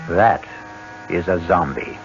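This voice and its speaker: A man hosting and narrating a 1970s radio mystery in a theatrical, measured, ominous manner. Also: deep voice, background noise. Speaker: host and narrator. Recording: dull 1970s radio recording.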